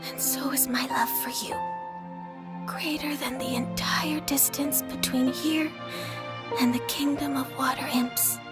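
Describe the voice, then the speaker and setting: A woman voicing a gentle, loving, sorrowful young child in a video game, soft and breathy. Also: sits high, fairly even intonation, a little slow. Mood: tender, sad. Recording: loud music over the voice.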